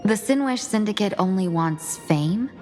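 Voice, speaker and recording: An actress playing a composed, formal young woman in a game, speaking in measured tones. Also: mid-range voice, expressive, dark tone, soft background music, lightly acted. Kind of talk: game voice acting.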